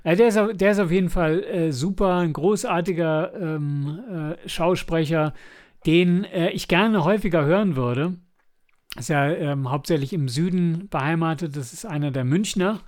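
A man's voice: a little high, normal speed, darkish tone. A man co-hosting a podcast, discussing and reviewing in a casual, rambling way as he searches for words. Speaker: podcast co-host and audio drama maker.

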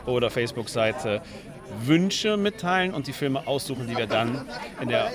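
Mid-pitched man chatting over crowd noise. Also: normal speed. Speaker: interviewed author and podcaster. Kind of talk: casual interview chat. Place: busy audio drama convention. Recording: busy convention crowd behind voice.

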